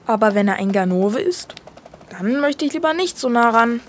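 A timid child with a fairly high voice acted by a woman in a children's audio drama. The delivery is hesitant, worried and doubting, with faint background noise. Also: expressive, moderate pace, natural voice. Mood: wary. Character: cautious, anxious child, timid sidekick to a bolder friend.

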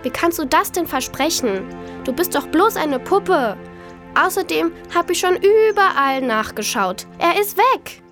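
A woman's voice: very high voice, sing-song, measured pace, music in the background, full character voice. A woman playing a tender, earnest young girl in a children's audio drama. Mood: sad. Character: young girl, tender, attached to her puppet.

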